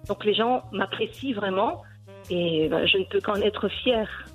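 A woman's voice: medium pitch, unhurried, breathy edge, soft background music, rough recording. A woman in her forties from Belgium, a cooking YouTuber answering interview questions earnestly, with many hesitations. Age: 40s.

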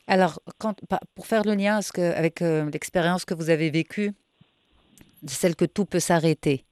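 A woman's voice: lowish voice, darkish tone, no room sound. A woman interviewer asking probing, reflective questions in a conversational way, searching for her words.

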